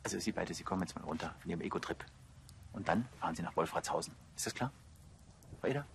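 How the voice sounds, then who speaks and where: A man's voice: sits low, quick pace, vocal fry, slight background noise. A man playing an authoritative senior police official from the city in a TV drama. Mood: commanding.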